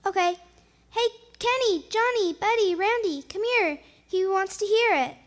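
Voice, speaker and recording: Very high-pitched chirpy little girl. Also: a little slow. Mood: eager, admiring. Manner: childlike, eager, inquisitive. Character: chirpy, pestering, admiring little neighbourhood girl. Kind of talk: 1940s radio comedy acting.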